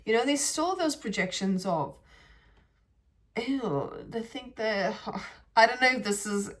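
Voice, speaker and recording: A woman's voice: a little high, animated, slow pace. A woman hosting a reflective spiritual podcast, who talks in a meandering way, searching for words and often restarting her sentences. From South Africa. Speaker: podcast host.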